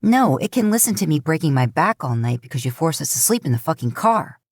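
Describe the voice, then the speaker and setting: A woman's voice: low voice, sing-song, a little fast, a little mellow, dry recording, clean studio sound, heavily acted. A woman voicing an earnest, bookish young man who argues insistently. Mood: exasperated.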